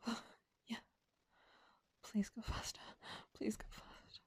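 A woman's voice: takes it slowly, darkish tone, no echo. A woman in an intimate erotic audio roleplay, breathless and aroused, whispering between moans.

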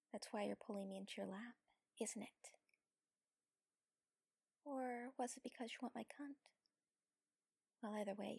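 A woman's voice: a little high, a little mellow, dry recording, clean studio sound. A woman playing a submissive, teasing warrior lover in an intimate adult audio roleplay, whispery and pleading. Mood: coy, teasing. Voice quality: breathy, whispery. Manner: slow, pleading, coaxing.

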